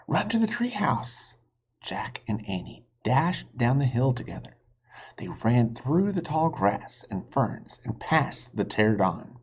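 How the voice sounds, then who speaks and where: A man's voice: mid-range voice, animated, unhurried, husky. A man reading a children's storybook aloud expressively, like a teacher, on a slightly muffled recording. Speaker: reader, teacher.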